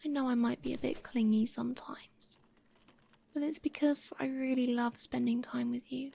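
A young woman whispering softly and breathily into a close microphone in an intimate, doting audio roleplay. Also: high voice, fairly even intonation, normal speed, vocal fry, breathy edge.

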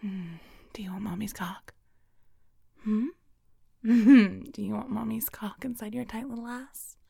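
Adult woman, very breathy and husky whisper with creaky edges, dominant teasing lover in intimate adult audio roleplay. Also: medium pitch, fairly even intonation, dark tone, no echo, studio quality. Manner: breathy, mostly whispered, slow and drawn-out with murmurs.

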